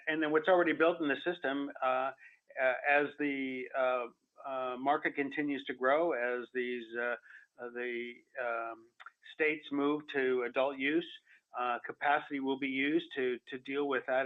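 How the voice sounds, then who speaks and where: Halting man on a phone line. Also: medium pitch, fairly even intonation. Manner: halting, stammering, heavy hesitation. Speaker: company executive on an earnings call. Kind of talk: unscripted answers to analyst questions. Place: telephone conference call. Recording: muffled narrow phone-line audio.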